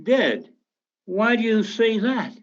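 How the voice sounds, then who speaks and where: An actor playing a businesslike investigator in a radio drama, questioning politely and giving little away. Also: male voice, sits high, very animated, slow, muffled recording, full character voice. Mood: cautiously reassuring. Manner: probing, politely noncommittal. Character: businesslike, evasive male investigator following orders.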